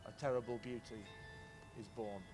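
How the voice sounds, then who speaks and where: A man reciting poetry in a measured, declamatory way at an outdoor public reading. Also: mid-range voice, flat delivery, music in the background. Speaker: poetry reader at a commemoration. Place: outdoors in a city square. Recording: outdoor public recording with clear traffic noise.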